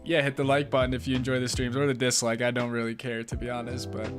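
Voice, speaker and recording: A young man hosting a live stream, reading chat and answering in a relaxed, casual way, laughing often and swearing now and then. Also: medium pitch, fast, music in the background. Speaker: livestream host reading chat.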